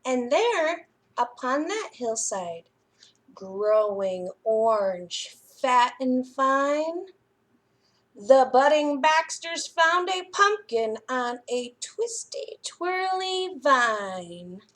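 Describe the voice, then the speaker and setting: A woman with a high-pitched voice leads a story time for young children. She reads at a slow pace, setting out each phrase deliberately. Her rhymes come out in a sing-song lilt, as a children's storyteller would read them. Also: very animated, fairly bright tone.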